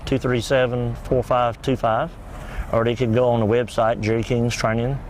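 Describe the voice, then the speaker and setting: A man's voice: mid-range voice, flat delivery, moderate pace, a little mellow. Southern American man, polite and plainspoken, outdoor interview, light background noise. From North Carolina. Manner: polite, plainspoken, many "yes, sir"s. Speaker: horse trainer and dog breeder guest. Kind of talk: casual interview. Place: outdoors at a horse event.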